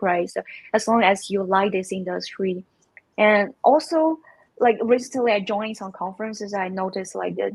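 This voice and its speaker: A woman whose first language is Chinese talks as a guest on an online call. Her voice is slightly husky and sits at a middle pitch, dry with no room sound. She speaks haltingly, restarting and repeating words as she goes. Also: no room sound, poor audio. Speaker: guest, community manager. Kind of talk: casual panel chat.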